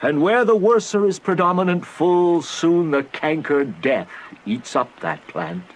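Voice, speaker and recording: An actor playing a wise, fatherly monk in a Shakespearean radio drama, speaking verse in a deliberate, sententious way. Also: a little high, lively intonation, vocal fry, slight background noise. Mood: musing, solemn.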